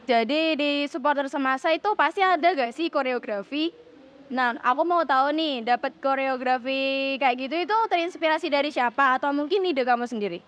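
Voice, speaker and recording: High female voice, teenage, student podcast host, chatty, slangy, lo-fi muffled sound. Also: a little fast, fairly bright tone. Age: teens. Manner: chatty, slangy, addresses viewers. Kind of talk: casual interview. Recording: dull lo-fi audio.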